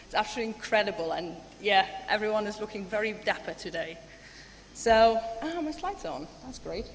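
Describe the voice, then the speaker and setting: A woman's voice: medium pitch, lively intonation, brisk. A woman from Germany giving a chatty, enthusiastic keynote speech with many ums. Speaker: keynote speaker. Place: large conference hall. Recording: hall reverb, faint room noise.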